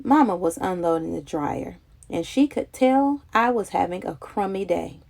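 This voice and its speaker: A woman reading a children's picture book aloud in an expressive, dramatic, childlike storytelling manner. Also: on the low side, normal speed, gravelly.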